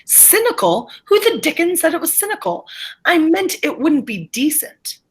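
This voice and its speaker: A woman's voice: extremely high pitch, very animated, normal speed, voice pushed up, full character voice. A woman reading the part of a blunt, exasperated male professor in a classroom reader's theatre, over a video call, with brusque, scornful outbursts.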